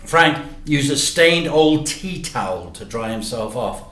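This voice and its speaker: A man narrating a children's story in an expressive, deliberate way. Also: mid-range voice. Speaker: storyteller, teacher. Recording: roomy, echoey sound.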